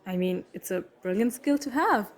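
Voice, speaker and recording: A woman's voice: mid-range voice, animated, normal speed, natural voice. A woman playing an eager, easily impressed assistant who is keen and apologetic, in a fan audio drama.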